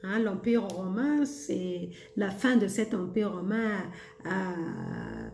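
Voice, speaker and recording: Slow, gravelly-voiced woman vlogger. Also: medium pitch, huge pitch swings, a little mellow, reverberant, faint music behind. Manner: chatty, hesitant, many fillers, laughs often. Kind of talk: casual spiritual monologue.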